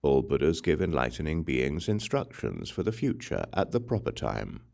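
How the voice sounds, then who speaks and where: A man with an extremely low, gravelly voice reads sacred text as an audiobook narrator. His intonation moves up and down in a lively way, while the delivery stays solemn and deliberately phrased. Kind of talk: scripted scripture reading.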